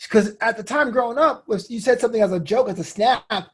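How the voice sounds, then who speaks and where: A man's voice: extremely high pitch, a little fast, breathy edge. A man in his thirties from Brooklyn with an American accent, talking candidly and telling stories as an interview guest. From Brooklyn, United States. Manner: animated storytelling, acts out inner quotes. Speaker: interview guest, comedian and host.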